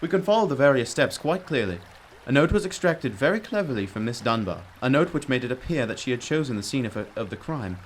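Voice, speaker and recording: A man's voice: medium pitch, very animated, close to an everyday voice. Guy playing brilliant bossy detective in a radio play, emphatic and courteous, faint noise behind. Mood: assured, explanatory. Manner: emphatic, deliberate explaining, old-fashioned courteous address. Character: brilliant, commanding, self-critical male detective. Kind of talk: radio drama acting.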